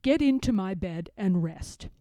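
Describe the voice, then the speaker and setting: An earnest, puzzled toad from a children's storybook, acted out by a woman reading aloud. The delivery is slow, deliberate and emphatic, with a mellow, close dry sound. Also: mid-range voice, lively intonation, dry recording, voice pushed down, close to an everyday voice. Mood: concerned.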